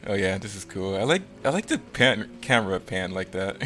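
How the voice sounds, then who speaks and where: A man's voice: sits low, expressive, normal speed, a bit bright, faint music behind. A man giving casual, self-critical, rambling commentary on his own creative work.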